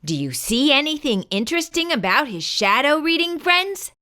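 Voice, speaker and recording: A woman's voice: high-pitched, sing-song, normal speed, pushed and bright. A woman hosting a children's read-aloud, narrating invitingly and putting questions to young listeners. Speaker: children's story reader and host.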